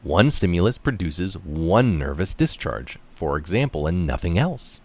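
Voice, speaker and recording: A man reading a science article aloud with deliberate, emphatic phrasing, close to the microphone. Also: low-pitched, big pitch swings, darkish tone. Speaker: article reader and commentator.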